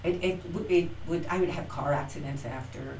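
A middle-aged actress chats as an interview guest, animated and acting out the lines she quotes. Her voice sits a little low and she sounds far from the mic. The room is echoey, with faint noise behind her. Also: normal speed. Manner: animated, acts out quoted lines, frequent restarts. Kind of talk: casual interview storytelling.

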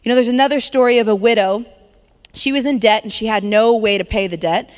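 A woman's voice: a little high, brisk. A woman in her thirties preaching a sermon in a conversational, upbeat way, laughing now and then. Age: 30s. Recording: long hall reverb.

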